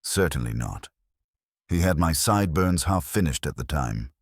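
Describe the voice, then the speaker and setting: Pompous councillor voiced by a male narrator: deep, husky, swooping delivery, solemnly excited gossip for a radio mystery. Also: normal speed, no echo, clean studio sound, heavily acted. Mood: self-important. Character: pompous, self-important male town councillor, eager gossip.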